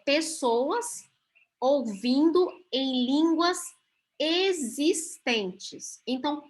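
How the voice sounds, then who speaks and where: Slow high-voiced Brazilian woman teaching. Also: a bit bright. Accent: Brazilian Portuguese. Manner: explanatory, checks in with "ok?" and "gente". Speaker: Bible class teacher. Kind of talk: teaching a Bible class over video call. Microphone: video call.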